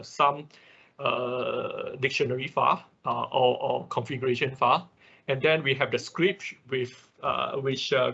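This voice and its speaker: A male instructor with a bright, forward voice and a creaky edge walks through a screen-share tutorial. He goes step by step, haltingly, and keeps tagging his points with a quick check. The sound is slightly dull, like online class audio. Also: medium pitch. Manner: halting, step-by-step, often ending on a checking "right?".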